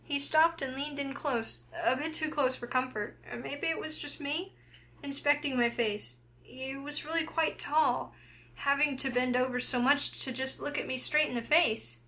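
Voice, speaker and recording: A woman with a high, bright voice reads a story game aloud. She moves between narration and acted dialogue for the heroine. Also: steady tone, normal speed. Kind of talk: reading a visual novel aloud, narration and heroine's lines acted.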